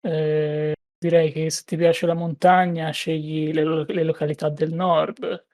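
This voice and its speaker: A man's voice: fairly high pitch, little pitch movement, moderate pace, a little mellow, dry recording. Hesitant man, dry sound. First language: Italian. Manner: hesitant, full of "uh" fillers. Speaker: podcast guest. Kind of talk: casual chat.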